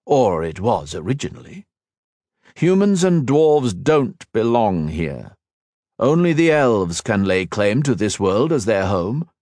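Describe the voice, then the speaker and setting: A man voicing a cold, mocking villainous male sorcerer who taunts slowly and theatrically. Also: fairly low pitch, big pitch swings, a little mellow, dry recording, studio quality. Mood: taunting.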